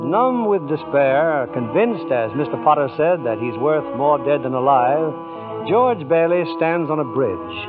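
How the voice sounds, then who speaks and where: An actor playing a solemn, dramatic storyteller, narrating in the style of a 1940s radio play. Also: male voice, a little high, expressive, measured pace. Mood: solemn, suspenseful. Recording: tinny vintage radio broadcast. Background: music.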